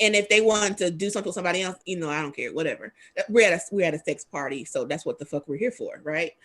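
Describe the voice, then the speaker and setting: A woman hosts a talk show, speaking candidly and rambling through her points with plenty of fillers. Her voice is bright and forward. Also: mid-range voice. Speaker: host. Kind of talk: casual talk show chat.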